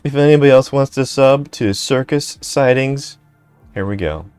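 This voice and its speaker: A man's voice: mid-range voice, a little mellow, clear, resonant tone, dry recording, soft background music. A man co-hosting a casual live stream, laughing often and reading chat and articles aloud. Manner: laid-back, laughing often, reads aloud haltingly. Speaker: streamer co-host.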